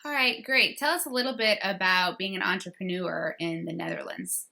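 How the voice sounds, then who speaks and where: A woman's voice: medium pitch, moderate pace, vocal fry, sharp tone. A woman hosting an interview, asking short, upbeat questions. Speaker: interview host.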